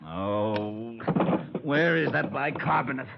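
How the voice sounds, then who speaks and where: An American actor playing an exasperated, griping police lieutenant in a 1940s radio drama. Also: male voice, mid-range voice, slow pace, vocal fry, heavy background noise. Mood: blustering. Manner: blustering, griping. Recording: muffled old radio broadcast.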